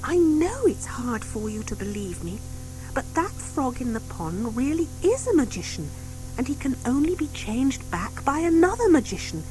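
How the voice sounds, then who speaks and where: A woman's voice: high-pitched, very animated, moderate pace, a little mellow, soft background music, pitched above the performer's own voice, rough recording. A woman voicing an anxious, earnest schoolgirl witch in an audiobook, with an urgent, pleading manner.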